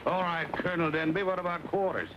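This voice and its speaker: An actor playing a crooked, bossy cavalry captain in an old Western, curt and commanding. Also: male voice, sits high, fairly even intonation, fast, lightly acted. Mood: brusque. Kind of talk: old Western film villain acting. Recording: muffled old soundtrack with clear hiss.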